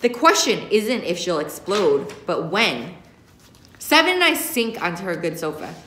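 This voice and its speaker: A woman in her thirties, a teacher reading a young-adult novel aloud in an expressive first-person narrating style. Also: lowish voice, measured pace, a little hiss or hum. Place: indoor classroom. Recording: echoey classroom recording.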